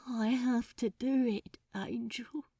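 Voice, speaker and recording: A woman's voice: fairly high pitch, fairly even intonation, slow, mellow, slightly rough, dry recording, studio quality, heavily acted. Woman acting an anguished, emphatic demon man. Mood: despairing, hushed. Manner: distraught, emphatic, pleading. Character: anguished male demon, despairing and defiant. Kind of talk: dramatic audiobook character acting.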